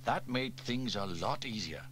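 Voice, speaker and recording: A man narrating a children's audio story in an expressive, animated read-aloud manner. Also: medium pitch, a little slow, a little hiss or hum.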